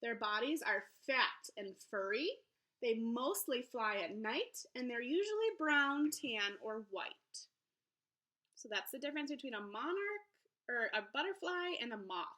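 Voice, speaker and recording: Lady teacher reading a kids book out loud, really animated, bright voice, explains stuff simply. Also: on the high side, measured pace. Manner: expressive, explaining simply to young children. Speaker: elementary school teacher. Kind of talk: children's picture book read-aloud with teaching asides.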